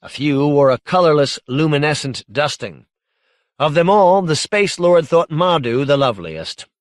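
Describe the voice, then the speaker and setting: A man narrating literary science fiction as an audiobook, measured and articulate in his reading. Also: a little high, lively intonation, dry recording, studio quality.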